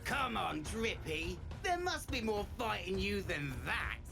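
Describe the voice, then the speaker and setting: An actor playing a menacing, sadistic hunter villain in a video game, with a theatrical, taunting, drawn-out delivery. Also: medium pitch, big pitch swings, gravelly, over music. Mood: taunting relish.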